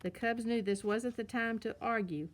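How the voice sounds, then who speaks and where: A woman reads a children's story aloud as its narrator. Her voice sits a little high for a woman. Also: fairly even intonation, normal speed.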